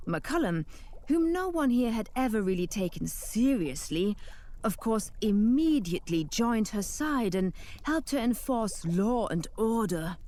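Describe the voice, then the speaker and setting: A calm forest witch. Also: a little high, unhurried. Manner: calm, explaining. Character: young woman, a hermit witch, calm and friendly. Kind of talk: game cutscene voice acting.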